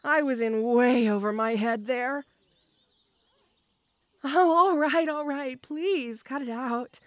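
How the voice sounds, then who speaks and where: A young woman playing a shy, gentle tomboy orc schoolgirl in an audio roleplay, with a hesitant, stammering, bashful manner. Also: high voice, slow, no room sound, clean studio sound. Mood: flustered.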